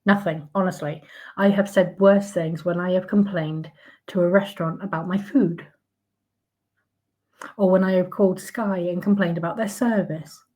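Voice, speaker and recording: A woman with a croaky voice, ranting in casual commentary with outraged sarcasm and plenty of fillers and restarts. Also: on the low side, steady tone, normal speed, dark tone. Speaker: commentary vlogger.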